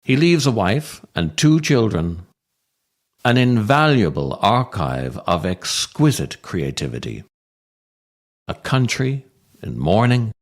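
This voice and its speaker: A man reading his own scripted comic writing as a podcast host, theatrical and wry, with deliberate dramatic pauses. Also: low-pitched.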